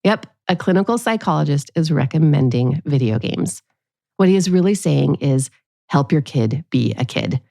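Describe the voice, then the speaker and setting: Female doctor-host, scripted podcast narration, mellow and a touch low, dry sound. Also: animated, moderate pace, creaky, dry recording.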